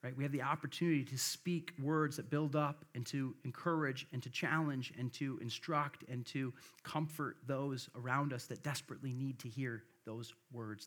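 Man, Midwestern accent, middle-aged, pastor, earnest sermon, scripture reading, mid pitch. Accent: Midwestern, a little softened by the South. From American Midwest. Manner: earnest preaching, reading scripture aloud with frequent "right?" asides.

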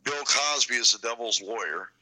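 A man's voice: mid-range voice, normal speed, bright, forceful tone, low-quality recording. A man in his forties, a guest in a casual chat, telling rambling, self-mocking stories. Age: 40s.

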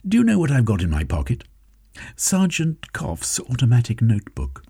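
Man as a determined young male hero, quick and husky. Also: medium pitch, huge pitch swings, warm tone, no echo, pitched above the performer's own voice, exaggerated voice.

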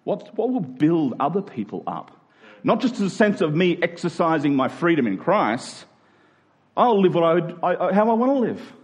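A man's voice: fairly high pitch, very animated, normal speed. A man preaching a conversational sermon, emphatic and rhetorical, often voicing imagined objections.

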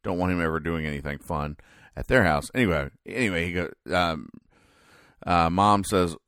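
A man hosting a comedy podcast, explaining things in a halting, deadpan mock-serious commentary. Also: low voice, clear, resonant tone, no echo, clean studio sound. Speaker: podcast host. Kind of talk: comic commentary describing newspaper comic strips.

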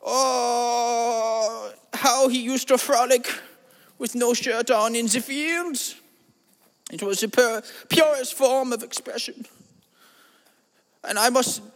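A man voicing an eccentric self-styled spiritual guru with a put-on German accent, in a drawn-out, lofty, preachy comedy monologue. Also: extremely high pitch, a bit bright, big-room sound, exaggerated voice. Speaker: comedy podcast host. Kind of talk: improvised comedy monologue in character.

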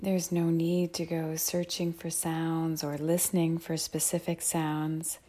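A woman guides a mindfulness meditation with a low-pitched, mellow voice. Her delivery is very slow and soft, with calm, measured phrases and unhurried pauses.

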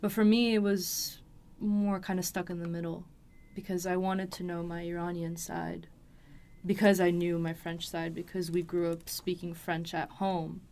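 A woman's voice: fairly low pitch, flat delivery, moderate pace, a little mellow. A woman, telling a personal story in an interview, hesitantly piecing her thoughts together. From Los Angeles, USA. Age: 20s. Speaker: interview guest. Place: recording studio.

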